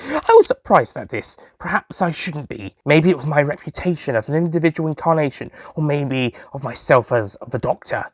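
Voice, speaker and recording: Man acting an animated, chatty young Time Lord storyteller. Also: on the high side, brisk, no echo, clean studio sound. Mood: cheerful. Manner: chatty, self-amused asides, giggly. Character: young excitable alien Time Lord, sweet-obsessed shopkeeper, jolly storyteller. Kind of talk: first-person audio story narration in character.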